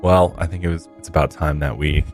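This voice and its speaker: Very deep-voiced American male podcast host. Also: steady tone, a little fast, a little mellow, faint music behind. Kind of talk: casual podcast intro and chat. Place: podcast studio.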